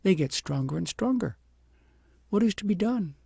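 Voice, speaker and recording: A man voicing a gentle, patient wise woman from a fairy tale, speaking in slow, tender, earnest counsel with a husky voice. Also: high voice, animated, no room sound, clean studio sound, voice pushed up, heavily acted.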